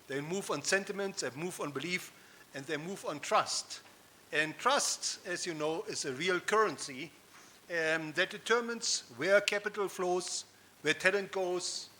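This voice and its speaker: A man's voice: sits high, bright, forceful tone. A man in his fifties with a German accent, giving a rhetorical, emphatic keynote speech on a conference stage. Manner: rhetorical, emphatic, with audience asides. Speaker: keynote speaker.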